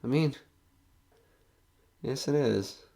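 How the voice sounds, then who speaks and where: A man's voice: mid-range voice, extremely slow, creaky, warm tone, slight background noise. A man playing a nervous, skeptical broadcaster alone in a haunted house in an audio horror drama, rambling and joking anxiously. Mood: unravelling, giddy.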